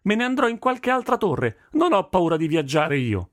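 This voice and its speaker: A man's voice: a little high, sing-song, moderate pace, darkish tone, no room sound, clean studio sound, voice pushed up. A man voicing a stubborn, fiery thirteen-year-old girl in an audiobook, with an insistent, youthful manner. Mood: defiant, piqued. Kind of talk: audiobook character voice.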